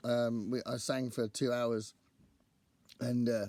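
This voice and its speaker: Male book vlogger, croaky and strained, medium pitch, dry close sound, chatty and digressive. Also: level tone, slow pace, a little mellow, no echo. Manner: chatty, rambling, thinks aloud. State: croaky voice after a singing gig. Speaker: book channel host. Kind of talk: casual to-camera book chat.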